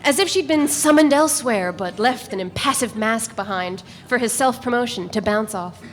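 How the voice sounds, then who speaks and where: A woman reading first-person literary narration aloud in a measured, even way. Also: a little high. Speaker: reader. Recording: echoey room with faint noise.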